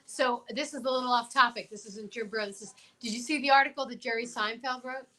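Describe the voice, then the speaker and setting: A woman's voice: fairly high pitch, fairly even intonation, brisk, sharp tone. A woman talk show host chatting casually, emphatic and opinionated, often posing questions. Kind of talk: casual chat.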